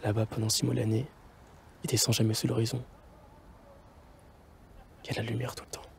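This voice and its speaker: An actor playing a lonely man cut off from his parents, speaking quietly and slipping into whispers. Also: mid-range voice, monotone, fairly quick, vocal fry, a little hiss or hum, close to an everyday voice. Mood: wistful. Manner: quiet, half-whispered, reflective. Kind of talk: hushed conversation, film drama.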